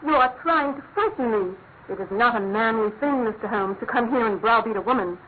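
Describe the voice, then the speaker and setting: A woman's voice: fairly high pitch, fairly quick, close to an everyday voice. An actress playing a proud, desperate aristocratic lady in a classic radio drama, formal and dramatic. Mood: indignant. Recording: tinny, narrow-band old radio, faint noise.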